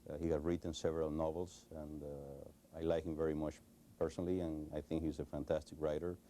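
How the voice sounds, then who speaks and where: A man's voice: very deep voice, flat delivery, a little fast, mellow, slight background noise. A middle-aged man from Cuba with a Cuban Spanish accent, answering interview questions hesitantly with many fillers and restarts. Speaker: interview guest. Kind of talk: recorded television interview.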